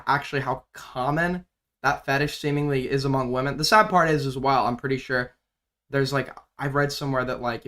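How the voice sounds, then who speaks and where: A man's voice: medium pitch, pushed and bright. A man hosting a livestream, bluntly arguing with a caller and commenting on a video with sarcastic remarks. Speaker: livestream host. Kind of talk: casual livestream commentary and call-in debate.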